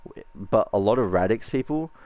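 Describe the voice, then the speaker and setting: A man's voice: on the low side, expressive, moderate pace, a little mellow, no echo. A young man, a game player, arguing bluntly and swearing as he talks over the other player. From Australia. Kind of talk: heated in-character argument.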